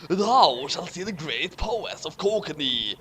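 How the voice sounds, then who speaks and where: A man's voice: fairly high pitch, sing-song, measured pace, a bit bright. A man voicing a gloating evil villain in a theatrical, exclamatory way for a comic fan fiction reading.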